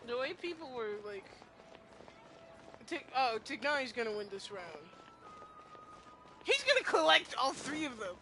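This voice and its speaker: A woman's voice: high-pitched, very animated, unhurried, long pauses, sharp tone, a little hiss or hum. A woman giving casual, rambling, fannish commentary as she streams a game playthrough, with plenty of slang. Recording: game music behind.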